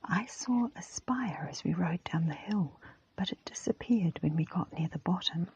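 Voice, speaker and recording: A woman voicing an amiable, relaxed older schoolboy in a storybook, in a breathy whisper. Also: on the low side, moderate pace, highs cut off, a little hiss or hum. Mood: thoughtful. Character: easygoing, friendly older schoolboy, Julian. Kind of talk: whispered storybook character reading.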